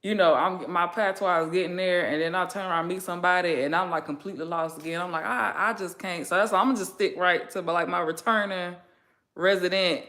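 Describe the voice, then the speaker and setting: Chatty bright-voiced woman vlogger. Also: fairly low pitch, steady tone, fast talker. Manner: chatty, laid-back, lots of fillers and slang. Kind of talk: casual chat with a friend.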